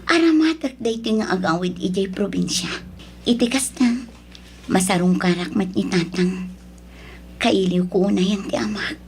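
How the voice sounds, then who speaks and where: A woman's voice: mid-range voice, moderate pace, pauses often, a little mellow, a little hiss or hum, natural voice. A woman with an Ilocano Filipino accent acting a young wife and daughter in an audio drama. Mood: sly, musing.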